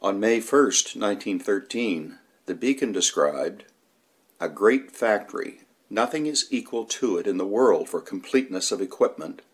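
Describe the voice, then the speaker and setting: A man's voice: fairly low pitch, lively intonation, normal speed, breathy edge. A man reading scripted documentary narration, including old newspaper reports.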